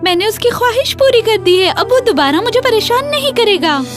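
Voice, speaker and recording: A woman's voice: very high-pitched, lively intonation, fairly quick, music in the background, voice pushed up. A woman voicing a spoiled, easily upset young princess in a children's fairy-tale cartoon, dramatic and girlish. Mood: relieved.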